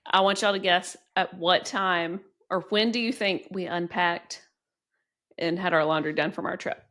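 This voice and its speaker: A woman's voice: lowish voice, fairly even intonation, normal speed, fairly bright tone. A woman co-hosting a podcast, telling funny stories and acting out quotes. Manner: playful, quotes herself in exaggerated voices. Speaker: podcast co-host, nurse anesthetist. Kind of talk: casual chat.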